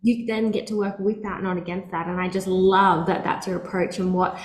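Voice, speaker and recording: A woman's voice: medium pitch, flat delivery, normal speed, roomy sound, slight background noise. A woman hosting a wellness podcast as a nutritionist, effusive and enthusiastic as she introduces and chats with her guest. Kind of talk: podcast hosting and casual chat.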